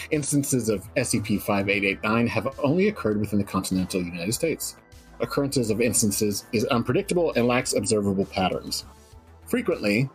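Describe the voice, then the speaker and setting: A man's voice: on the low side, low-quality recording. A man reading a clinical document aloud and chatting casually as a guest writer on a stream.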